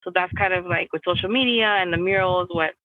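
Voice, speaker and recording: A woman's voice: lowish voice, fairly quick, no echo, phone-quality sound. A young adult woman from Miami, answering interview questions in a casual, rambling way with many restarts and "like" fillers. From United States (Miami). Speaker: guest artist.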